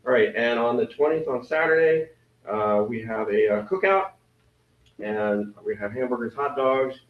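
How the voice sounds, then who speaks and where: Deep-voiced man joking through announcements. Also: expressive, moderate pace. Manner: rambling, self-deprecating, joking. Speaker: event committee member. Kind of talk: club meeting announcement. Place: meeting room with a crowd. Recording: roomy, distant, dull meeting recording.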